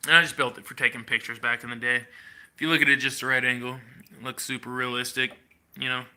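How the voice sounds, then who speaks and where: A congested man casually chatting on a livestream as host, showing his workshop and rambling in a relaxed way with slang. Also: medium pitch, fast, clean tone. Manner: rambling, laid-back, with fillers and slang. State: congested and coughing. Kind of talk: casual livestream chat while showing a build. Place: home workshop or garage.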